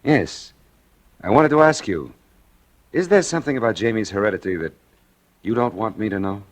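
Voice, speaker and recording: Actor as a domineering husband, animated, old radio. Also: male voice, lowish voice, moderate pace, close to an everyday voice. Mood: probing. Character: brisk, domineering doctor husband and stern father. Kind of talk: 1940s radio drama acting. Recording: noisy vintage radio recording.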